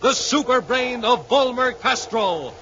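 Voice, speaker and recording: A man announcing and pitching enthusiastically in showy 1950s radio style, on an old recording. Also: lively intonation, unhurried, muffled recording, noisy background.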